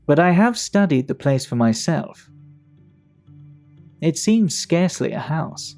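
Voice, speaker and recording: A man playing a worldly, talkative Victorian English gentleman in an audio drama, speaking in a measured, gossipy, fastidious way. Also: a little high, very animated, creaky, faint music behind, heavily acted. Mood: measured, confiding.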